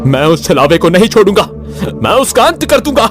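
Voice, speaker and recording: A man's voice: high-pitched, sing-song, fairly quick, over music, pitched above the performer's own voice. A man voicing a determined, agitated young man in a horror cartoon, speaking with urgent intensity. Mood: defiant. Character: determined, agitated young man fighting a shape-shifting demon.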